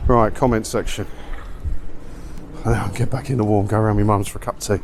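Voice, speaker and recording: A man's voice: lowish voice, steady tone, a little fast, gravelly. A man with an English accent giving a casual, rambling, self-deprecating and grumbling walk-and-talk vlog. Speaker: video blogger, host. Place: outdoors, walking along a windy seaside town street. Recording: windy outdoor street noise. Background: wind, street and vehicle noise.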